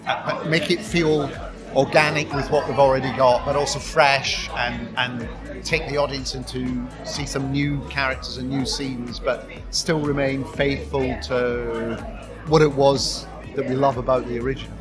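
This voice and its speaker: A man of middle pitch explains things to an interviewer in a measured manner. Loud party music runs behind his voice. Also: little pitch movement. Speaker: interviewee, stage adapter.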